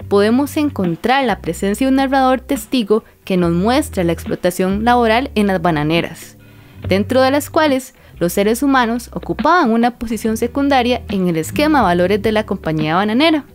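A woman's voice: a little high, animated, moderate pace. A woman giving prepared, formal literary commentary in the didactic manner of a professor. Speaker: literature professor, guest specialist. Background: music.

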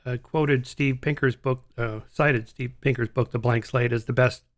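A man in his sixties hosting an interview podcast, talking casually with halting restarts into a studio microphone. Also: mid-range voice, steady tone. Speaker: podcast host. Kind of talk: interview conversation. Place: home studio, indoors. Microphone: large studio mic on a stand.

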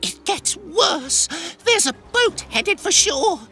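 Worried tiny boat for a kids cartoon, squeaky high, draws words out slow, music behind. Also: female voice, lively intonation, pushed and bright, exaggerated voice. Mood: anxious, pleading. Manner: fretful, pleading. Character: anxious, flustered little sailboat. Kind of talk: animated children's cartoon acting.

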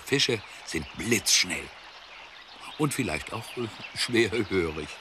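A man narrating a children's story expressively, with deliberate, dramatic pauses. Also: low voice, lively intonation. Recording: clearly noisy soundtrack.

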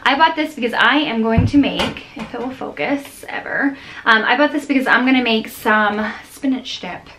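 A woman's voice: fairly high pitch, moderate pace, sharp tone, slight background noise. A woman in her thirties chatting casually to camera in her home kitchen, rambling with many restarts and fillers, sounding tired. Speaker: vlogger. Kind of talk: casual grocery haul chat. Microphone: camera on a counter at close range.

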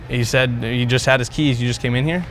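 A man's voice: mid-range voice, fairly even intonation, brisk, loud music behind the voice, rough recording. A man in his twenties with an American accent, chatting casually as a prankster. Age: 20s. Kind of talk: casual chat.

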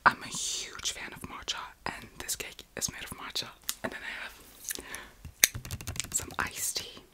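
A woman of about twenty whispering casual, excitable ASMR chat as a video host, often repeating words softly. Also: measured pace. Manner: whispers almost throughout.

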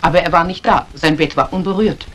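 An actress playing a dutiful housekeeper answering questions in a 1950s German radio crime drama. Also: female voice, fairly low pitch, brisk, natural voice. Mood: nervous, dutiful. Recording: old radio play recording, faint hiss.

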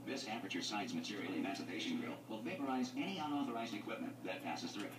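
A man's voice: lowish voice, animated, fast, background noise, lightly acted. A man playing a formal pre-recorded facility announcer. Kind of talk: video game announcements. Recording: game audio from TV speaker, roomy and distant.

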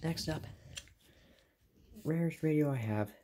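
A man's voice: fairly high pitch, takes it slowly, mellow. Offhand young American man, casual show-and-tell. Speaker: hobbyist video maker. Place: small carpeted bedroom, indoors. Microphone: handheld phone behind the camera.

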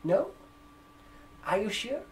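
Very slow-talking young man, ASMR role-play. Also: sits high, big pitch swings, a little mellow. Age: 20s. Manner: slow, halting, repeating words while typing. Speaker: ASMR performer playing a barber shop receptionist. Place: room with sofas, indoors. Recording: roomy echo, keyboard typing. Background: computer keyboard typing.

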